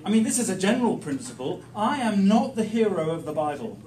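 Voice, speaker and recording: A man preaching in short, emphatic, plain-spoken phrases, pausing for an interpreter. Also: high voice, very animated, crowd noise. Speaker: guest preacher teaching the Bible. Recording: echoey room, distant mic, faint noise.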